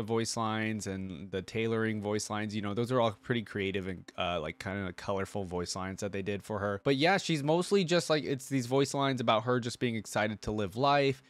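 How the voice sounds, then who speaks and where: A man's voice: medium pitch, dry recording. A man hosting a reaction video, giving casual, appreciative commentary and musing aloud.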